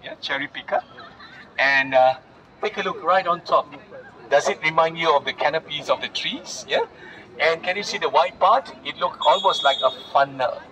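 A man's voice: fairly high pitch, sharp tone. A man guiding a walking tour, explaining to a group and often checking in with short tag questions. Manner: explanatory, often checks in with "yeah?" and "all right?". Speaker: tour guide. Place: outdoors in a public garden at night. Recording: noisy outdoor phone recording.

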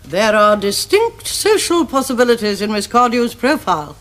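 Casting: older upper-class English Victorian aunt, domineering and pompous, highly animated pitch, period TV comedy. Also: female voice, normal speed. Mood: appraising, commanding. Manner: imperious, pompous, precise diction. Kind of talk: stage-like period comedy acting.

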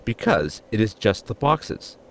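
A man's voice: on the low side, big pitch swings, unhurried, gravelly, full character voice. An actor playing a formal, fussy inventor crewman with stiff, precise diction in a sci-fi audio comedy. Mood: earnest. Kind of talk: audio sitcom acting.

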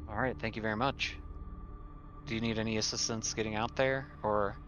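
A man's voice: fairly low pitch, fairly even intonation, fairly quick, music in the background. A man playing a tabletop roleplaying game, talking casually and hesitantly as he thinks aloud, on a muffled recording. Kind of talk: casual in-character game chat.

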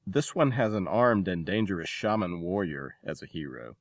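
Low-voiced man hosting a podcast, very dry sound. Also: lively intonation, normal speed, no room sound. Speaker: podcast host. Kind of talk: scripted podcast narration and interview introduction.

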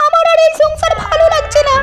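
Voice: little pitch movement, quick pace. A performer voicing a sulky, whiny cartoon wife who nags and complains. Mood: sulky, nagging. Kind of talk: comic cartoon dubbing. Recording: shrill shifted voice over loud music.

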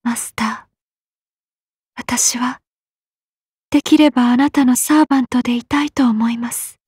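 Very breathy, high valkyrie maiden. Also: female voice, fairly even intonation, darkish tone, no room sound, clean studio sound. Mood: sad, devoted. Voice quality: breathy, whispery. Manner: slow, hesitant. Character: gentle, lovelorn, melancholy valkyrie maiden. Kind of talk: video game character voice lines.

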